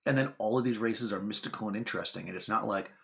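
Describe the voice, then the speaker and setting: A man talks casually to his viewers, wandering through asides and restarts. His voice sits on the low side and has a steady gravelly fry. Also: quick pace. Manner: rambling, chatty, lots of fillers and asides. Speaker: channel host. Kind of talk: casual talk to camera.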